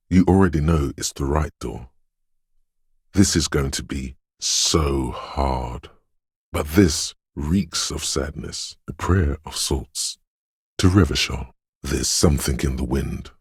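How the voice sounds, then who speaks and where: A gravelly, abyss-deep inner voice. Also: male voice, no echo, studio quality, exaggerated voice. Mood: foreboding. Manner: slow, solemn, cryptic, hushed intensity. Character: ominous inner voice of intuition and dreams, brooding. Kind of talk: dramatic game narration.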